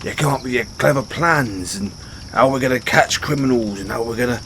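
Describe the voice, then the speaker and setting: A man playing a gruff but good-hearted orc barbarian in a fantasy audio roleplay, bashful and loyal, stumbling over his words in halting speech. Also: mid-range voice, a bit bright, a little hiss or hum, close to an everyday voice. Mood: admiring, fumbling. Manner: halting, fumbling speech with sheepish stammers. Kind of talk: fantasy audio roleplay, intimate one-sided dialogue. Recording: outdoor night ambience with crickets behind.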